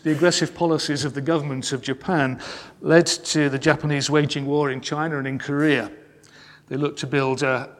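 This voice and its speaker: A man telling a family wartime story in a measured, solemn sermon style. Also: a little high. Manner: measured and solemn, slowing on key phrases. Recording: long reverb in a large hall.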